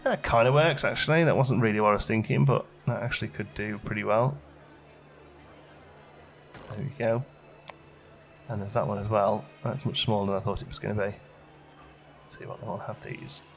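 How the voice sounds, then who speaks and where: A man's voice: fairly low pitch, fairly quick, pauses often, soft background music. A man giving casual gameplay commentary, chatting and musing aloud as he questions his own choices, as a let's play host.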